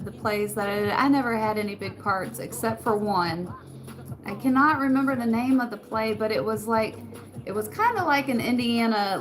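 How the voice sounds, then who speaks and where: A soft-spoken woman with a rural Western American accent, chatting casually with her viewers and laughing often. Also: mid-range voice. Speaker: channel host. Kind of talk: casual live chat answering comments. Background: background music.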